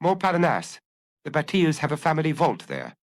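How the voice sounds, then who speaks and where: A man's voice: on the high side, huge pitch swings, moderate pace, no room sound, voice pushed up. A man voicing a terse, weary detective chief inspector in an audiobook, giving brief, clipped replies. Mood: weary, decisive.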